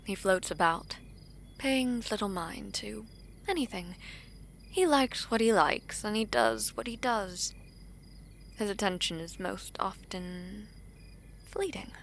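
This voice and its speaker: A woman's voice: medium pitch, animated, slow pace, long pauses, slight background noise. A woman playing a guarded, protective royal in an audio drama, with a grave, stately and formal delivery. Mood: wary, probing. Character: guarded, regal imperial sibling, protective of a younger brother.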